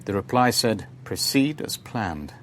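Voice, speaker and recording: An actor playing a composed, wary businessman and shipowner in a radio drama, speaking in a measured, polite, understated way. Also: on the low side, big pitch swings, a little hiss or hum. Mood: measured, uneasy. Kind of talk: radio drama narration and dialogue.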